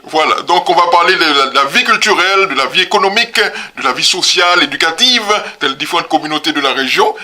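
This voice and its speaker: Bright-voiced man explaining, slightly distant. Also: a little high, lively intonation, fast talker, few pauses, reverberant. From DR Congo. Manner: explanatory, with frequent "hein" and hesitations. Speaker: co-host. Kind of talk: conversational current-affairs talk show.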